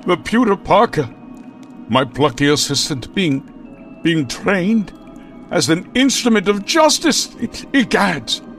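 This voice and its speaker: An actor playing a pompous, melodramatic millionaire vigilante in the style of an old radio serial, with grand theatrical proclamations. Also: male voice, sits high, fairly bright tone, heavily acted. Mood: indignant. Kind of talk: 1940s radio serial acting.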